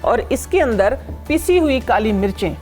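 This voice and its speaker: A woman's voice: on the high side, sing-song, moderate pace, over music. A woman from Pakistan, a guest expert on a studio health talk show, explains home remedies step by step, often saying "ठीक है" and "इंशाल्लाह".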